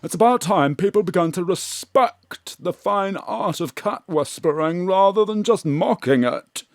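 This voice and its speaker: An actor playing a pompous, condescending cat therapist with a patronising manner in a comic audio drama. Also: male voice, sits high, lively intonation, measured pace, no echo, clean studio sound. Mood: indignant.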